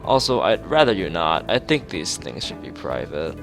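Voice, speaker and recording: Man as teenage boy, reserved, wry, mildly defensive, creaky low voice, visual novel, music behind. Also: very animated, normal speed, full character voice. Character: reserved, wry teenage schoolboy with heart condition.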